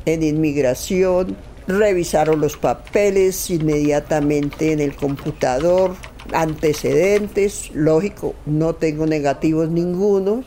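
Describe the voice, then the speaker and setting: A woman's voice: low voice, dark tone, a little hiss or hum. A middle-aged woman telling a personal story informally and emphatically, acting out remembered conversations. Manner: emphatic, acts out remembered dialogue. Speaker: interview guest telling a travel story.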